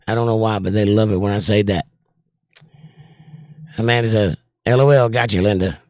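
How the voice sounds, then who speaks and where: An older man with a drawl hosts a relaxed livestream, reading viewers' comments aloud and answering them. His voice sits low and sounds worn. The sound is dry and close, with no room echo. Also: normal speed. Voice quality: voice worn from years of trouble. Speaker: livestream host reading chat. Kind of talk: casual livestream chat.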